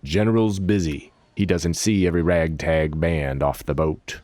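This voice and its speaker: A man voicing a gruff, broad-shouldered army captain, curt and dismissive. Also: low voice, steady tone, normal speed, darkish tone, no room sound, lightly acted.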